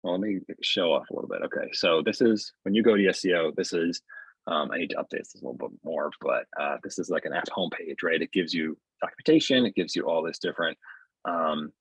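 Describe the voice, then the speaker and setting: A middle-aged man giving a casual webinar demo in a halting, conversational way, on dull video-call audio. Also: low voice, breathy edge, dry recording. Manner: halting, conversational, often checks with "right?". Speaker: software developer presenting his tool. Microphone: earbuds on a video call.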